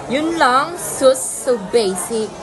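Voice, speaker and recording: A young Filipino woman playing a vain, sassy, flamboyant high school student in an amateur radio drama, campy and slangy. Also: animated, very slow, music in the background, exaggerated voice, low-quality recording. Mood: vain, playful. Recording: crowd chatter behind the voice.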